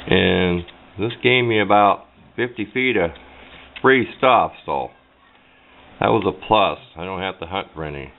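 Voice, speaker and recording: A folksy, plain-spoken man giving a casual hands-on tutorial at a workbench, picked up by a camera microphone. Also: on the low side. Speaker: DIY radio hobbyist demonstrating. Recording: muffled phone-like audio, background noise.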